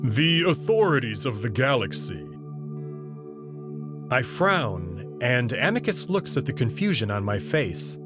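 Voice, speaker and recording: A man's voice: mid-range voice, sing-song, unhurried, music in the background. A man narrating a visual novel in the first person, wry and curious in tone.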